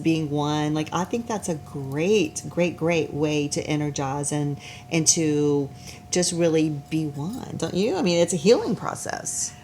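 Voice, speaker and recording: A woman hosting a talk show, chatty and rambling, filling in with quick affirmations. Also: deep voice, darkish tone, slight background noise. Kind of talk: casual chat interview.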